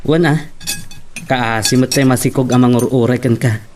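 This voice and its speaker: Lalaki nga agak-akto iti mannakikadua a gayyem. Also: male voice, medium pitch, steady tone, normal speed, darkish tone, natural voice. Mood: teasing. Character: loyal male buddy, familiar and inquisitive. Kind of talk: Ilocano radio-style drama acting. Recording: clear background noise.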